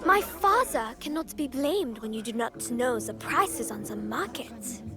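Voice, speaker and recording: A woman's voice: a little high, lively intonation, unhurried. A woman voicing a sly orphan girl who plays the innocent in a theatrical, wheedling way in a video game. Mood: defiant. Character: sly orphan girl accused of poisoning, playing the innocent victim. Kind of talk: video game cutscene acting. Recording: game music behind the voice.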